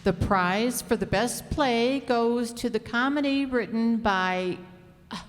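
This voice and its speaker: A woman as a slow, formal contest announcer. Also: a little high. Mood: fed up. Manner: halting, formal. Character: stiff, hesitant contest announcer. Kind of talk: old-time radio sitcom acting. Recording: echoey hall stage.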